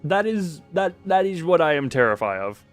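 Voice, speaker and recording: A man's voice: on the high side, sing-song, normal speed. Rambling man streaming over game music. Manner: rambling, thinks aloud, stammers on word starts. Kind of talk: casual live-stream commentary, reading game text aloud.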